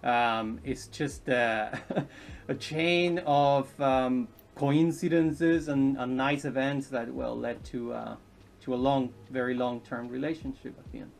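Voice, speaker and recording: A man in his thirties from Chile, a podcast guest chatting casually and haltingly, with many ums and restarts, recorded from a distance in a living room. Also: medium pitch, fairly even intonation, creaky. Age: 30s. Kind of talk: casual interview chat. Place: indoors, home living room.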